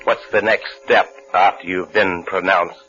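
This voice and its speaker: A desperate fugitive telling his own story in an old radio thriller. His voice is very deep and his manner fatalistic. Music runs with it on a muffled vintage recording. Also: steady tone, a little slow, bright, forceful tone. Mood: brooding, calculating. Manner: brooding, fatalistic first-person storytelling. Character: brooding, desperate man on the run for murder. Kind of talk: 1950s radio suspense drama, first-person narration.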